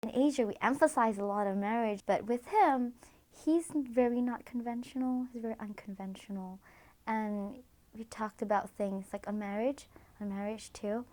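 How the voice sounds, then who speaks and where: A woman's voice: fairly high pitch, normal speed, warm tone. A young woman from Malaysia, a student, speaking earnestly about her relationship in an interview and dropping to a whisper for asides. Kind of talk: personal testimony in a documentary interview.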